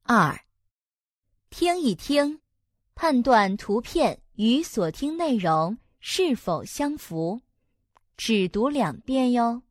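A woman's voice: a little high, very animated, slow pace, dry recording, studio quality. A woman reading listening-test instructions in a friendly, sing-song way; her first language is Chinese.

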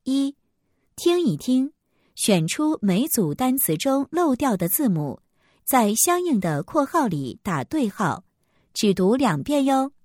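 A woman's voice: a little high, very animated, slow, a little mellow, dry recording. A woman reading test instructions in a lilting classroom tone, recorded dry and close.

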